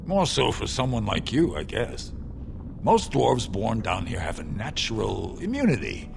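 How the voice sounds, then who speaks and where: An actor voicing a sly middle-aged male dwarf smuggler in a video game, making a wheedling sales pitch. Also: sing-song, measured pace, creaky, background noise, full character voice. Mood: curt. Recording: game audio with music behind.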